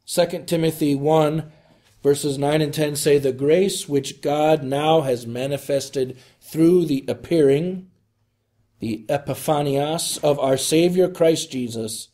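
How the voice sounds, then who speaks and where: A male preacher reads a scripted homily in a slightly high, soft-edged voice. He phrases solemnly and deliberately, taking his time over each line.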